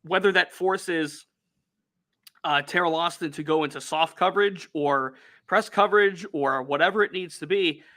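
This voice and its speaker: Bright-voiced Youngstown sports podcaster. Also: male voice, a little high, normal speed. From Northeast Ohio. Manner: energetic, reads out viewer comments. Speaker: podcast co-host.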